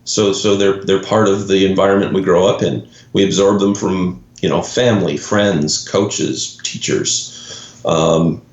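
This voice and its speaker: A man's voice: low voice, steady tone, unhurried. A man from Canada with a Canadian accent, a guest in an interview, who explains ideas thoughtfully with examples and often restarts his phrases. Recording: roomy, dull lo-fi audio.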